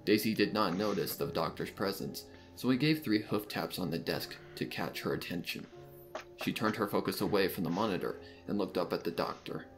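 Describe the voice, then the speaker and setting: Low-voiced man narrating a dark mystery. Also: lively intonation, fairly quick. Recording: guitar music behind the voice.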